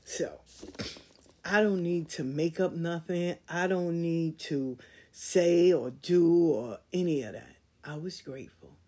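A woman hosting a podcast, speaking in in a candid storytelling way that swings from loud emphasis to quiet asides. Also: fairly low pitch, takes it slowly. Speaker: podcast host. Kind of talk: casual monologue.

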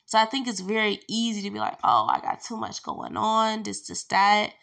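A woman's voice: mid-range voice, steady tone, moderate pace, vocal fry, a bit bright. A young woman hosting her own podcast, chatting candidly from home while congested with allergies and lying down, with loose fillers and laughs. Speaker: podcast host. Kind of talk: casual solo podcast chat.

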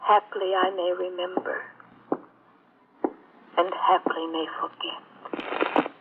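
A woman's voice: lowish voice, level tone, takes it slowly. A woman playing a dreamy, mournful actress reciting poetry theatrically on a played-back phonograph record. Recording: muffled vintage record, faint noise.

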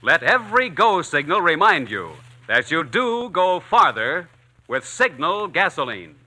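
A man's voice: fairly high pitch, sing-song, measured pace, bright, forceful tone, soft background music. A man announcing and reading sponsor copy in a polished, emphatic 1940s radio style.

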